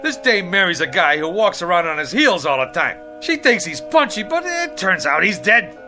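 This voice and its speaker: An actor playing an eager, pushy, luckless would-be writer, with an American accent and slangy, wheedling patter, in a comic drama. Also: male voice, sits high, big pitch swings, moderate pace, over music. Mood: excited. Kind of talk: comic television drama acting.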